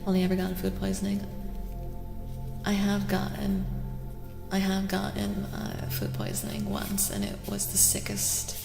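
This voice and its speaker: A woman live streamer reading tarot in soft-spoken ASMR style, chatting casually and gently, sometimes dropping to a whisper and repeating phrases. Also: medium pitch, animated, moderate pace, vocal fry. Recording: reverberant echo with music behind. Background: music.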